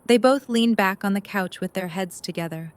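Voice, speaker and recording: A woman's voice: medium pitch, normal speed. A woman reading scripted story narration as the narrator of an audio drama.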